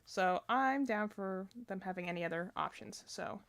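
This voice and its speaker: A woman's voice: mid-range voice, normal speed, creaky, a bit bright. A woman livestreamer reacting to game trailers in casual chat, rambling and thinking aloud.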